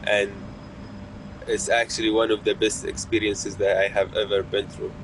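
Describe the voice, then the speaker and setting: A man student from Saudi Arabia talking calmly in a casual testimonial. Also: lowish voice, moderate pace, background noise. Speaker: international student.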